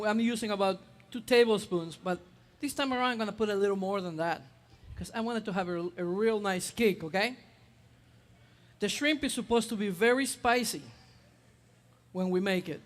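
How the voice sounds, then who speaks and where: Brief: high-pitched man, chef demoing on stage, chatty and halting, long hall reverb. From Ecuador. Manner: chatty, hesitant with many uh fillers. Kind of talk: live cooking demonstration.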